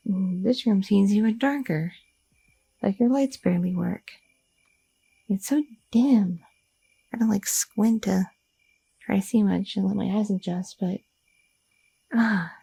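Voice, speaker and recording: A woman playing a gravelly, husky girlfriend. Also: medium pitch, mellow, no echo, full character voice, low-quality recording. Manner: rambling, inquisitive, filler-heavy talk. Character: curious, chatty young adult woman, an anxious girlfriend. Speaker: ASMR roleplay performer. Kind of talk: ASMR audio roleplay.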